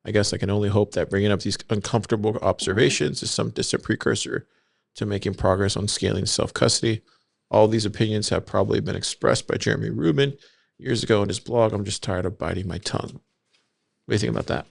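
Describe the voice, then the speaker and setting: Gravelly low-voiced man co-hosting a podcast. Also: fast talker, no echo, studio quality. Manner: reads quotes in an even, steady way, then asks for opinions. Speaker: podcast co-host. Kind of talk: casual chat, reading forum posts aloud.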